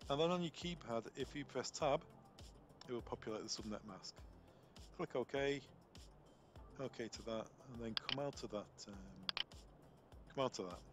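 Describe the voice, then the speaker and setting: A man presenting an unscripted software tutorial step by step, explaining as he goes and often repeating words as he finds his phrasing. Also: mid-range voice, normal speed, long pauses, over music. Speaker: product trainer demonstrating intercom software.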